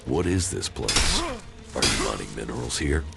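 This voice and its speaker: Very deep, gravelly male warrior commander. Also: wildly swooping pitch, a little slow, over loud music, exaggerated voice. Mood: matter-of-fact. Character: gruff, curt male warrior commander, laconic and controlled. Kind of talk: video game character dialogue.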